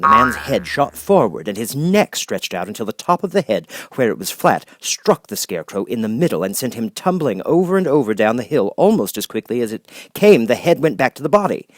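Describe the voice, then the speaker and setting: A man reading a children's story aloud as an expressive audiobook narrator. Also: medium pitch, moderate pace, dry recording.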